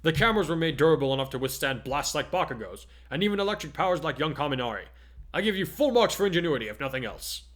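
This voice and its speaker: Man voicing giant superhero teacher, booming, hearty, kindly, earnest, storybook character. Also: big pitch swings, fast, a bit bright. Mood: kindly, apologetic.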